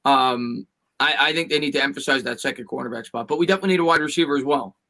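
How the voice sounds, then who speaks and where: Quick, bright-voiced man chatting sports. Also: medium pitch, vocal fry. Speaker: sports stream co-host. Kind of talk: casual livestream sports chat.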